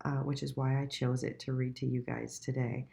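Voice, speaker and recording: A woman reading a children's storybook aloud in a deliberate, expressive storytelling manner. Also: rumbling low, gravelly. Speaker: children's book reader.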